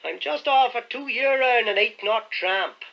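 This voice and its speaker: A man reading an audiobook, voicing a wizened, crafty old sailor who talks slowly and roughly. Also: very high voice, voice pushed up, exaggerated voice. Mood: sly. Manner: slow, rough, wheedling speech. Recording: dull lo-fi audiobook recording.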